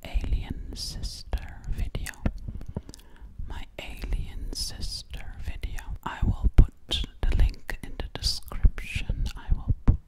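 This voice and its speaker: A young woman doing ASMR personal attention in a breathy whisper, very close to the microphone, repeating words and phrases. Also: slow pace, low-quality recording. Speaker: ASMR performer. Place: small indoor room. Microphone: very close microphone, ear to ear.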